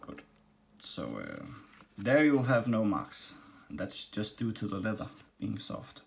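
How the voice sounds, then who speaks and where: A man from Denmark with a Danish accent, casually demonstrating and reviewing a leather machine, with many pauses and restarts. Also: on the low side, huge pitch swings, creaky. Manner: halting, many ums and restarts. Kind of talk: casual workshop demo. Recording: faint background noise and clicks.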